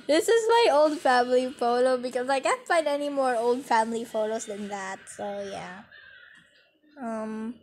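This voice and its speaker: A woman's voice: a little high, slight background noise. Giggly young woman narrating her phone screen. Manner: giggly, chatty, easily distracted. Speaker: vlogger. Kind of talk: casual phone screen-recording commentary. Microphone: phone mic.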